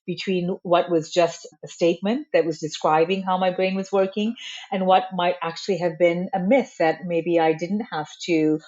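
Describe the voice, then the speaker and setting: A woman psychologist from Malaysia, answering interview questions in a measured, explanatory way. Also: on the low side, steady tone, a little mellow, highs cut off. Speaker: psychologist guest.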